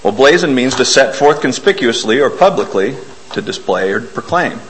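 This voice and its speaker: A man delivers a relaxed, self-deprecating sermon in a church, explaining old hymn words to his congregation. His voice is hoarse from a cold, and he clears his throat often. A faint background hum sits under his voice. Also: mid-range voice, normal speed, poor audio. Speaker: lay preacher. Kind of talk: informal sermon.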